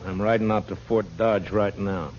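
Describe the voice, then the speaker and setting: An actor playing a weary, stern, steady frontier marshal in 1950s radio western style, blunt and commanding. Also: male voice, fairly low pitch, normal speed, natural voice. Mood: firm, grim. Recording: dull, noisy 1950s radio recording.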